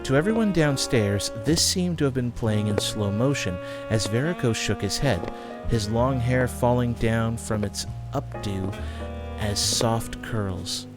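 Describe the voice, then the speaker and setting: A man's voice: mid-range voice, unhurried, over music. A man reading scripted fantasy story narration as the narrator of an audio drama.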